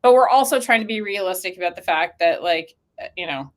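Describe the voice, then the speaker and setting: A woman's voice: fairly low pitch, a little fast. A woman talking casually as an interview guest, explaining things and acting out little imagined quotes as she goes, peppered with "like". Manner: animated quoting of imagined speakers, frequent "like". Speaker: interview guest, company co-founder.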